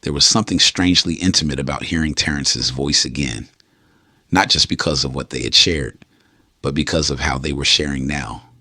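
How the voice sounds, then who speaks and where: Male narrator, very low voice, intimate bedtime storytelling, dry booth sound. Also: normal speed, bright, forceful tone, no echo. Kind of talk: scripted storytelling.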